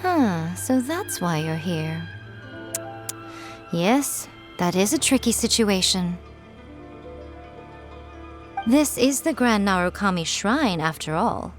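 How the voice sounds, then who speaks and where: Sly, lilting high priestess. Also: female voice, big pitch swings. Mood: coolly scheming. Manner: silky, unhurried, condescending, veiled menace. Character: sly, haughty, teasing female fox shrine priestess. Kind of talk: video game voice acting. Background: soft game music.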